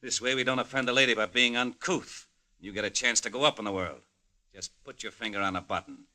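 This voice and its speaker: Foghorn-voiced radio thug, very hard-edged and deep, sardonic, commanding, menacing. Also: male voice, quick pace, pushed and bright. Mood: mocking threat. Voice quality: booming foghorn voice. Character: menacing male gunman thug, mockingly polite. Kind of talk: 1940s radio drama acting.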